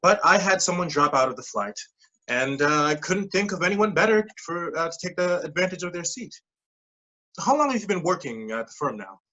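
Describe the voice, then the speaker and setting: A persuasive scheme recruiter played by an actor in a staged play reading. The voice sits at a middling pitch with a slightly husky edge, pressing insistently in clipped phrases while dodging plain words. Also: big pitch swings, a little fast, sharp tone, muffled recording. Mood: reassuring, probing. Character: smooth-talking, pushy pyramid-scheme recruiter mentoring a junior coworker.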